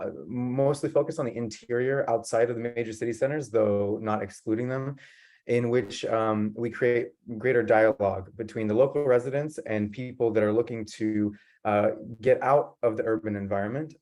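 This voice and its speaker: A man who grew up in San Diego presents to a conference over a video call. His voice sits low and stays flat, with little rise or fall. He talks in an earnest, steady way, filling pauses with ums and uhs. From San Diego, California. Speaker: guest presenter.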